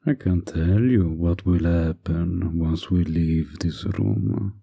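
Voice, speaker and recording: A man playing a smug, manipulative, flirtatious villain in an intimate audio drama, coaxing with deliberate pauses in a breathy, husky voice. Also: deep voice, dark tone, full character voice. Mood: seductive, coaxing.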